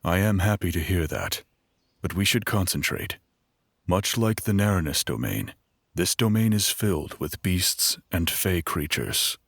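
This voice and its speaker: Watchful male minstrel guide, played by an actor, very low voice, courteous, dry fantasy audio drama. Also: animated, fairly bright tone, no echo, heavily acted. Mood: cautious. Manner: polite, measured, cautious.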